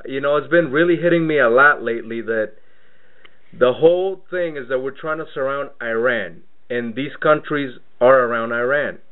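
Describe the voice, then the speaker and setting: A man's voice: medium pitch, normal speed. Rambling man on a tinny phone line. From Mexico. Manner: rambling, repeats words while searching for the point. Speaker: radio show host. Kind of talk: casual radio interview talk.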